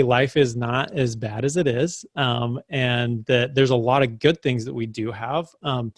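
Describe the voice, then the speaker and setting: A man's voice: medium pitch, dry recording. Young guy chatting on a video call, says like a lot, dry sound. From United States. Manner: filler-heavy, many "like" and "right?". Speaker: summit speaker. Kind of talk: casual motivational talk.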